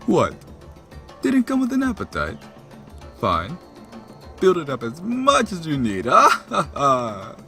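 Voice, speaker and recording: Big easygoing drummer god, male actor, hearty and teasing, very animated, music underneath. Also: takes it slowly, vocal fry, pauses often, exaggerated voice. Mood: amused teasing. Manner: booming, laughing, leisurely teasing. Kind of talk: audio drama acting.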